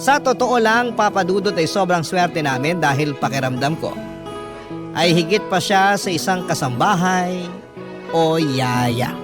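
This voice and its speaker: A man's voice: high-pitched, unhurried. A man with a Filipino accent narrating a listener's letter as an emotive, scripted radio drama story. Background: guitar and instrumental music.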